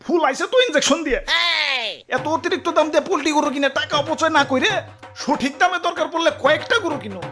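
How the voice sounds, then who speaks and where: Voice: high-pitched, quick pace, music in the background, lightly acted. An actor voicing an angry, bossy talking bull in a comedy cartoon, scolding in colloquial Bangladeshi Bengali. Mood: indignant scolding. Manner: scolding, haranguing, sarcastic.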